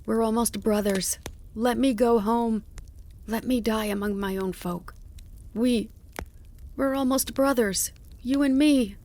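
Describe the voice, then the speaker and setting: A woman's voice: fairly high pitch, fairly even intonation, slow pace, pauses often, dark tone, pitched above the performer's own voice. A woman voicing a wounded, exhausted old male fox in a storybook, speaking with desperate, bitter emotion. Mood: desperate lament. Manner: imploring, lamenting, turning to bitter snarls.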